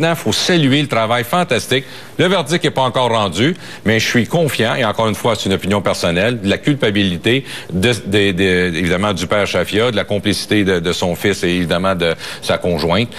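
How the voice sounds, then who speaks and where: Low-voiced Quebec French man giving commentary. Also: fairly bright tone. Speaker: police and legal affairs commentator. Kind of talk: interview commentary, unscripted opinion.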